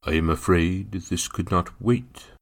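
A man voicing a grave, formal gentleman official in an audiobook, speaking in measured phrases. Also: low-pitched, gravelly. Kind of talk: dramatised audiobook character voice.

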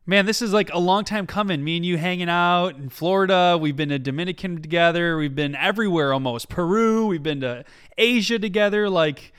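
Upbeat, higher-voiced male podcast host. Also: a little fast, few pauses, clean tone. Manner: upbeat and energetic, rising excitedly when greeting friend. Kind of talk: podcast interview, enthusiastic intro.

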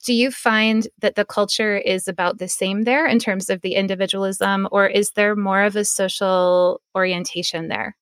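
A woman's voice: mid-range voice, fairly even intonation, normal speed, few pauses, fairly bright tone, no echo, clean studio sound. A woman with an American accent hosting a casual interview, phrasing things tentatively and thoughtfully. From United States. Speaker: interview host.

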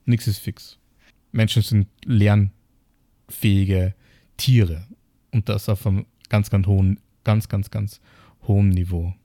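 A man's voice: lowish voice, fairly even intonation, slow, pauses often, mellow, no echo, clean studio sound. An Austrian man co-hosting a podcast, explaining reflectively in casual, piecemeal phrases with rhetorical questions. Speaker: podcast co-host.

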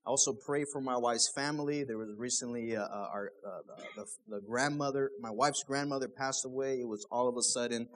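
A man's voice: medium pitch, fairly quick, hardly any pauses. A young man, a pastor, preaching a sermon in a casual, conversational way, full of rhetorical questions.

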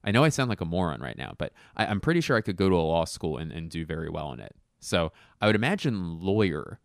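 A young adult man rambling through a casual solo chat, self-mocking and sure of himself, with quick asides and restarts. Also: deep voice, sing-song, creaky, dry recording, studio quality.

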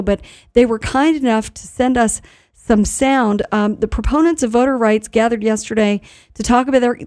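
Mellow woman hosting local radio news. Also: fairly high pitch, no echo, clean studio sound. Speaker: news host.

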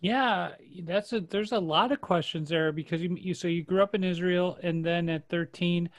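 A man's voice: high voice, fairly even intonation, normal speed. A man co-hosting an interview, speaking hesitantly as he searches for words. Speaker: co-host. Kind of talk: casual interview chat.

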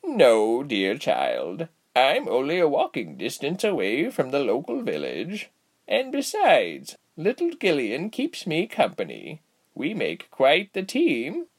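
A man voicing a cheerful, giggly elderly village healer woman in a storybook, with a slow, kindly teasing delivery. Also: mid-range voice, huge pitch swings, husky, voice pushed up, full character voice. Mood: amused, cheerful. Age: older. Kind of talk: storybook character voice.

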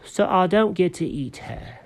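A woman's voice: lowish voice, animated, unhurried, voice pushed up. A woman voicing a naive, greedy male kitty cat who is obsessed with eating fish. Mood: puzzled. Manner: eager, wide-eyed and fish-obsessed.

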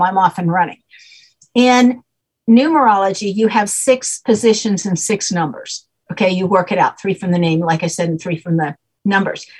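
Husky woman explaining patiently. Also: mid-range voice, low-quality recording. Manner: patient, checks understanding with repeated "okay?". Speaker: guest teaching a name system. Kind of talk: explanatory conversation.

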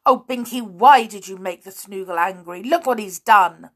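A woman's voice: medium pitch, moderate pace, pitched above the performer's own voice, close to an everyday voice. A woman voicing a distraught, angry little girl in a children's storybook, wailing and reproachful. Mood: upset, furious.

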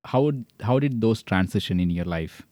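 A man with a very deep, warm voice hosts a podcast interview. He asks long, rambling questions, restarting and rephrasing them as he goes, and keeps up encouraging murmurs. The sound is dry and close. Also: animated, normal speed, no echo. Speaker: podcast host. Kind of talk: interviewing a guest.

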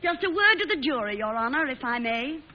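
A woman's voice: sits high, expressive, brisk. A bold, outspoken newspaperwoman in an old radio drama. She speaks emphatically, with the conviction of an orator. The recording is muffled, with a faint hiss. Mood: defiant, solemn. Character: defiant, fearless woman newspaper publisher on trial.

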